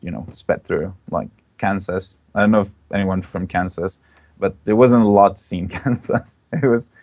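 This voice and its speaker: A man's voice: fairly low pitch, no echo. A young man from Belgium, a guest in a casual interview, talking with enthusiasm and plenty of "uh"s and "like"s. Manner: enthusiastic, chatty, many fillers. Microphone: online call mic.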